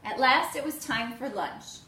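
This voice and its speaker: High-voiced woman reading a storybook aloud. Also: a little slow. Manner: expressive, addressing young children. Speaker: teacher reading aloud to children. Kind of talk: animated children's storybook read-aloud. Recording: echoey room with faint noise.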